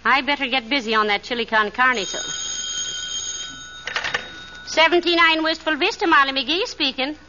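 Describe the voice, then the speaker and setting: An actress playing a shrewd, patient, teasing middle-aged wife in 1940s radio comedy, folksy and sweetly knowing. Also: female voice, sits high, normal speed, pauses often, natural voice. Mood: amused, knowing. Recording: dull, noisy 1940s radio recording.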